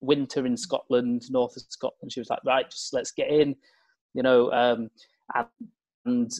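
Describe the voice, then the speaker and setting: A man's voice: mid-range voice, darkish tone, no room sound. A young man from Cumbria in England, chatting as a guest in an interview, hesitant and full of fillers and restarts. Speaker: guest, open-water swimmer. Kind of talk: casual interview chat.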